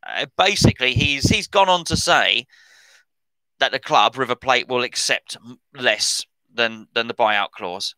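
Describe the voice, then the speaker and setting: A man's voice: mid-range voice, lively intonation, measured pace, pushed and bright, no room sound, low-quality recording. Chatty middle-aged man. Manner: chatty, rambling, self-correcting. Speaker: football podcast host. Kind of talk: casual commentary on transfer news.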